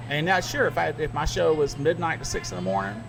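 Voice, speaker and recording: A middle-aged man with a Southern US accent holds forth in easy podcast chat, his voice leaping higher whenever he gets emphatic. He speaks like the seasoned radio broadcaster he is. Music plays clearly underneath. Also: quick pace, low-quality recording. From American South. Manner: animated storyteller, jumps up in pitch when emphatic.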